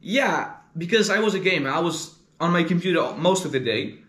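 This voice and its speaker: Teen boy, Greek accent, higher-pitched voice, earnest and halting, recorded in a roomy space with echo. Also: expressive, fairly bright tone. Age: teens, about 15. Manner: earnest, searching for words, frequent "like" and "uh". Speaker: interviewee, gamer. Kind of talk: casual interview answers.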